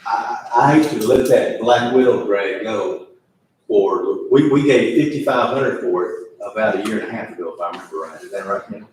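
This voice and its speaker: A man presides over an informal meeting. He talks in a folksy, halting way and circles back over his words. His voice is distant from the microphone, in a strongly echoing room with faint noise. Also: on the low side, darkish tone. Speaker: judge presiding over county commissioners meeting. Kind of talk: informal public meeting discussion.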